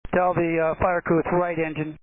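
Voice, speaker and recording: A male pilot with a fairly high, even voice reports to air traffic control in a matter-of-fact way. The cockpit radio is thin and clearly noisy. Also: a little fast, narrow, tinny audio. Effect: cockpit radio transmission. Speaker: airline pilot. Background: clear radio and aircraft noise.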